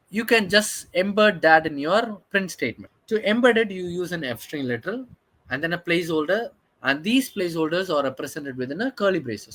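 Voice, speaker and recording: A man's voice: a little high, fairly bright tone. A man teaching a programming lesson over his screen, asking questions and answering them himself, with frequent "okay" and "right". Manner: explanatory, asks and answers his own questions. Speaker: programming instructor. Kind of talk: screen-share coding lesson.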